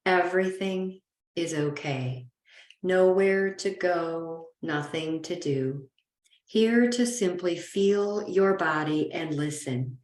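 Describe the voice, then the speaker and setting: A woman leads a guided relaxation in a roomy, echoing space. Her voice sits a little low, and she speaks very slowly and soothingly. She leaves gentle pauses between phrases as a yoga nidra guide.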